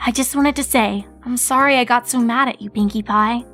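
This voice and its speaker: A woman voicing a bookish, earnest unicorn mare in a fan story reading, measured and slightly prim. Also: on the high side, a bit bright, soft background music, pitched above the performer's own voice, close to an everyday voice.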